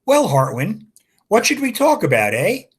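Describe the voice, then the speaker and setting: A brisk woman efficiency auditor with a dry, teasing edge, as a male reader puts her on. Her tone is mildly condescending. The voice sits a little high and is slightly husky. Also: huge pitch swings, exaggerated voice. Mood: mocking.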